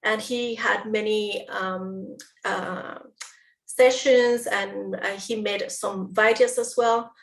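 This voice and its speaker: A woman from Peru with a Peruvian Spanish accent, a guest in a casual interview, speaking haltingly as she searches for words. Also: medium pitch, fairly even intonation, creaky. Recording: roomy audio from a distant mic.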